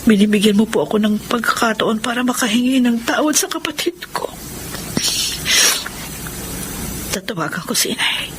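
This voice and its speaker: A woman with a Filipino accent playing a soft, earnest family woman in a radio drama. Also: fairly high pitch, fast, noisy background, lightly acted. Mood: remorseful. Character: loving mother, or repentant grown daughter.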